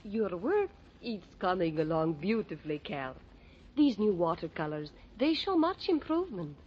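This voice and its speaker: A woman's voice: lowish voice, big pitch swings, normal speed, slight background noise. An actress in a 1940s-style radio drama playing a dutiful married woman, earnest and admonishing, with formal phrasing. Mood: encouraging. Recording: muffled old radio recording.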